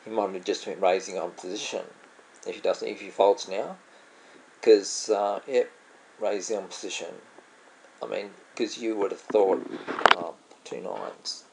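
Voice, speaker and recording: A man's voice: lowish voice, steady tone, measured pace, gravelly, slight background noise, low-quality recording. A man with an Australian accent, commenting casually on his own online poker game, musing aloud and often trailing off to correct himself. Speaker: vlogger playing online poker. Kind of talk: casual commentary over gameplay.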